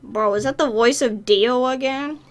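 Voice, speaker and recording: A woman's voice: high voice, moderate pace. A woman giving casual, joking commentary while she plays a video game. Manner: informal, joking. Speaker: gaming streamer. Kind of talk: casual let's play commentary.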